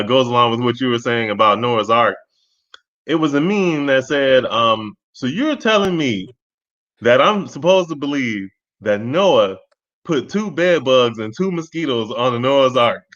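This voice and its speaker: Man, forty, laid-back and amused, lively voice over dull lo-fi audio. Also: medium pitch, a little slow. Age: 40s. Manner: relaxed, easily amused. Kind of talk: casual call-in chat.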